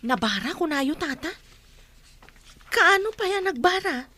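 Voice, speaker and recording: High-voiced sympathetic Filipino woman, acted. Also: animated, a little slow, sharp tone, full character voice. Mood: concerned. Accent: Filipino, Ilocano. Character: sympathetic, helpful neighbour woman and friend. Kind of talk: radio drama acting.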